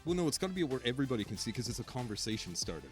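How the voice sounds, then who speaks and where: Animated, joking man on a chat show. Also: mid-range voice, very fast, soft background music. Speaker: show regular.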